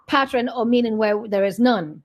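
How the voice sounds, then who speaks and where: A woman's voice: a little high, normal speed. An Irish woman hosting a podcast, chatting casually, laughing often and swearing lightly. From Ireland. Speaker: podcast host. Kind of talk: casual chat.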